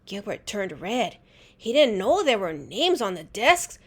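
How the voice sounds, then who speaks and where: A woman reading a children's storybook aloud in an expressive, deliberate teacher's way. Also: medium pitch, slightly rough. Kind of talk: animated storybook read-aloud.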